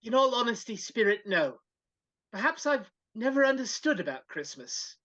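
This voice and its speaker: A woman playing a grumpy but softening old male miser in a live dramatic reading over a video call. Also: fairly high pitch, sing-song, moderate pace. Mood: sceptical. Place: remote video call at home. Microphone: video call microphone. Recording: slightly dull video-call audio.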